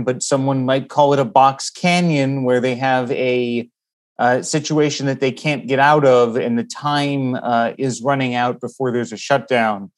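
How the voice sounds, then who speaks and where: A man working as a political reporter, giving conversational news analysis in a halting, measured, wordy way. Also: medium pitch.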